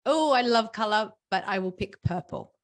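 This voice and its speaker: A woman's voice: mid-range voice, animated, normal speed. Aussie woman around 40s, guest on a podcast, easygoing storyteller, lots of "you know"s. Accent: Australian. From Australia. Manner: warm storytelling with frequent fillers. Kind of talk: conversational interview.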